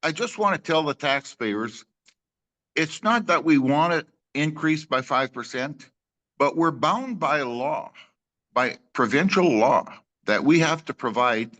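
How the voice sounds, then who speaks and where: A man's voice: medium pitch, a bit bright. A man speaking as a councillor at a meeting, earnest and hesitant.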